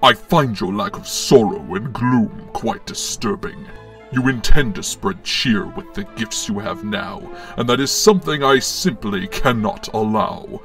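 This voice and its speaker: A man voicing a menacing dark-suited Sith villain, booming, slow and pompous in a theatrical way. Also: big pitch swings, distant mic, faint music behind.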